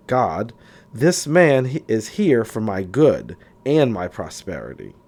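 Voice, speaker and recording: A man narrates a spiritual self-help book as an audiobook. His delivery is deliberate and declarative, with pitch that moves up and down in a lively way. He sometimes trips on a word and reads it again.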